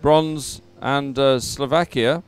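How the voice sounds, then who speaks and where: Male live sports commentator, very clean smooth voice, mid-pitched, informal and encouraging. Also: fairly even intonation, slow, soft background music.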